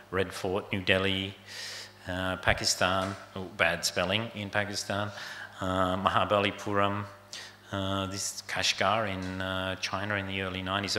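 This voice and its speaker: An older man from Australia talks his way through a slide show, pausing on fillers and letting phrases trail off. His voice is low and even, with a bright edge. The sound rings in a roomy hall with faint noise behind it. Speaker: photographer giving an artist talk. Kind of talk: unscripted slide talk.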